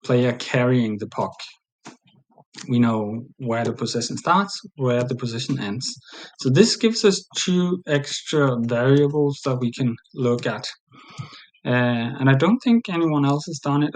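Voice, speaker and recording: A man's voice: mid-range voice, low-quality recording. Danish man presenting from his desk. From Denmark. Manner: plain, hesitant with frequent fillers. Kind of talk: recorded conference presentation. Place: small room indoors.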